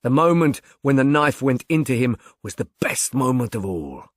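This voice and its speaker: A man's voice: medium pitch, lively intonation, normal speed, no echo, clean studio sound, close to an everyday voice. A man voicing a smug, insane murderer who confesses in pompous, precise, wordy language, as in an audiobook. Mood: smug, composed. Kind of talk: audiobook first-person confession.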